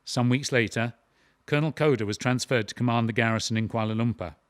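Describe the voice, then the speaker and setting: A man's voice: medium pitch, quick pace, no room sound. Dry, close-miked male narrator. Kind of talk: scripted documentary-style drama narration.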